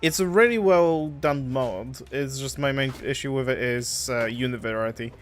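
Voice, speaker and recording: A man from Poland, a native Polish speaker with a Polish accent, casually chatting with viewers while playing a game, halting and restarting his words. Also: mid-range voice, a little hiss or hum. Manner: relaxed, halting, frequent restarts. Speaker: streamer. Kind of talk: casual live-stream chat while gaming.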